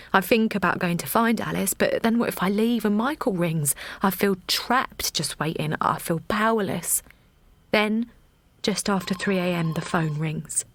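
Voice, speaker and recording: An actress playing a supportive, anxious woman in a naturalistic audio drama, speaking earnestly and plainly. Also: on the low side. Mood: anxious dread. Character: Linda, a supportive, anxious woman and loyal friend. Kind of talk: naturalistic audio drama acting, with dialogue and narration.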